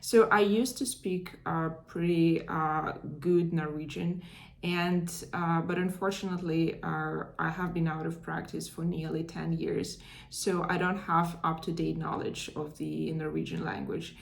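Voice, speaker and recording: A woman in her thirties with a Russian accent gives an English lesson in a measured, explanatory way and pauses now and then on a filler. Her voice sits a little low for a woman. The room around her is noticeably echoey. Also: steady tone, creaky. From northern Russia. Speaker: English teacher. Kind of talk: language lesson to camera.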